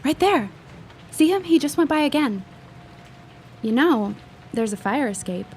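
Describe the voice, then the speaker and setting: An eager, inquisitive young woman of the 1910s, played by an actress, with a fairly high voice. She confides like an amateur sleuth in a period audio drama, with faint outdoor ambience behind her. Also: lively intonation, measured pace, a little mellow, a little hiss or hum. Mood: eager, hushed excitement.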